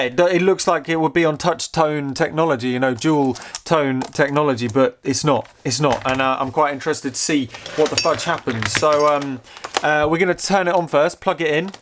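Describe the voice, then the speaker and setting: A man giving casual, unscripted commentary as a host showing a gadget, rambling and halting with repeated words, curious and easily amused. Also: fairly high pitch, a bit bright, slight background noise. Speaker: host of a vintage technology channel.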